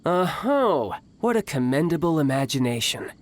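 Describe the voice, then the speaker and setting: A man's voice: on the high side, sing-song, moderate pace, a little hiss or hum. An actor playing a smug, dandyish young man in a video game, with haughty, polished, theatrical phrasing. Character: smug, dandyish young man, secret agent bluffing as salesman. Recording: light game music behind.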